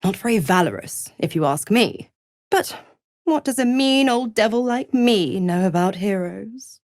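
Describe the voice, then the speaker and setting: A cruel, mockingly seductive devil patroness from a video game. She takes her time over each taunt, letting the pitch curl and swoop with theatrical condescension. The sound is close and dry, with no room around it. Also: expressive, a little slow, no echo. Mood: mock-innocent. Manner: taunting, drawling, condescending, purring endearments like "pet".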